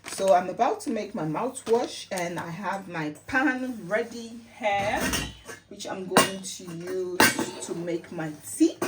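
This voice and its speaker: A woman's voice: medium pitch, takes it slowly, far from the mic, heavy background noise. A woman with a Sierra Leonean accent chatting casually as a vlogger, repeating phrases for emphasis. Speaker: lifestyle vlogger. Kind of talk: casual chatty home-remedy vlog.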